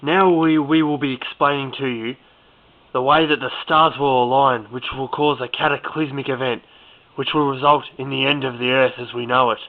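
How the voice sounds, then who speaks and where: A man's voice: mid-range voice, steady tone, moderate pace. A man giving a mock-serious presentation in an amateur video. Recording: thin phone-like lo-fi sound.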